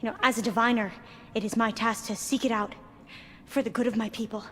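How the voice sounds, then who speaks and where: An actress playing a formal, wary female diviner of a proud tribe in a video game, solemn and hesitant, with archaic phrasing and a fairly high voice. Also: steady tone, fairly quick, fairly bright tone, slight background noise, lightly acted. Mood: reverent, hesitant. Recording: echoing hall, faint background ambience.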